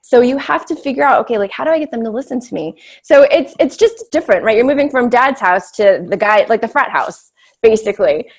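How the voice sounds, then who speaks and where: A woman's voice: a little high, lively intonation, a little fast. A woman with a big voice, giving candid, reflective interview answers drawn from her own experience. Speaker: interview guest.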